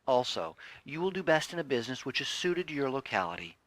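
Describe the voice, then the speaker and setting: Man reading an audiobook, lively intonation. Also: medium pitch, quick pace, dry recording. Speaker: audiobook narrator. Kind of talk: scripted audiobook reading of a self-help text.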